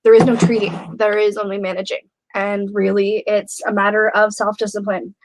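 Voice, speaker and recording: A young woman hosting a live question-and-answer chat, talking candidly with many pauses and fillers, a little snuffly from a flu. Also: medium pitch, flat delivery, slight background noise, poor audio. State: snuffly, getting over a flu. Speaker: host of a live question stream.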